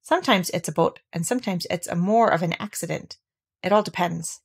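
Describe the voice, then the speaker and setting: A woman's voice: on the low side, brisk, gravelly, slightly rough. A woman voicing a proud, simple, earnest male toy bear in a children's storybook, explaining things plainly.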